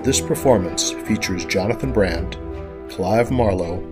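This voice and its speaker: A man with a fairly low voice reads a scripted programme introduction as an announcer. Music plays clearly behind him. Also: measured pace. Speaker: announcer introducing a podcast drama. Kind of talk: scripted narration. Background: prominent music.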